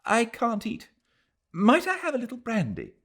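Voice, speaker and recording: A man's voice: very high voice, wildly swooping pitch, moderate pace, a bit bright, pitched above the performer's own voice, full character voice. A man voicing a fragile, overwrought young Englishwoman. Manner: precise, careful syllables.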